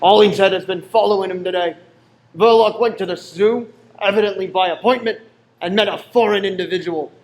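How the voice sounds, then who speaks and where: A man acting a brisk, businesslike senior detective in a radio play. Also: high voice, natural voice. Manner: businesslike, matter-of-fact.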